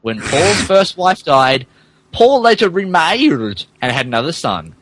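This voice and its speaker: A man's voice: on the high side, very animated, unhurried, a bit bright, no echo. A man narrating fan fiction as a comedic dramatic reading, stumbling over words and adding asides. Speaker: podcast host reading as narrator.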